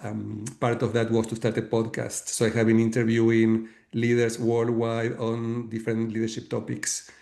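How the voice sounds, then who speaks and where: A middle-aged man from Argentina with an Argentine Spanish accent, explaining his work as a leadership coach in a measured way, with many fillers and restarts. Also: mid-range voice, completely flat delivery, vocal fry, mellow. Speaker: guest author and leadership coach. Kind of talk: webinar talk.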